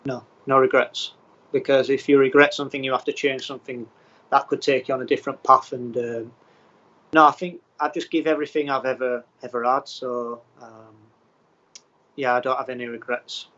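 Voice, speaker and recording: Man from England, flat even delivery, footballer guest, muffled lo-fi sound. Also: medium pitch, normal speed. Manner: plain, steady, with frequent ums. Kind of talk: casual interview answers.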